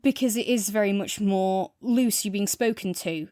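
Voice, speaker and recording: A woman's voice: mid-range voice, moderate pace, dark tone, dry recording, studio quality. A woman co-hosting a podcast in a casual, informal chat, explaining at length with plenty of asides. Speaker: podcast co-host. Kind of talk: casual chat, audio drama review.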